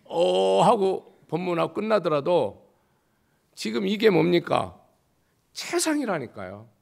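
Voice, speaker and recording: A man, a Buddhist monk, giving a sermon with a slightly hoarse voice, driving his points home with rhetorical questions and jumps between loud and quiet. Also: sits high, very animated, takes it slowly.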